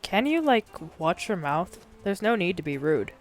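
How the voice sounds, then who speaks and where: A woman voicing a wary, laid-back male police officer in an audio story, speaking in a low-key, muttering way. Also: sing-song, normal speed, soft background music. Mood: reproving.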